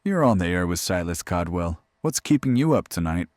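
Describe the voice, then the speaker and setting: A man playing a droll, macabre late-night radio horror host, theatrical and sardonic with deadpan humour. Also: low voice, brisk, a little hiss or hum. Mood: menacing, courtly. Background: faint music.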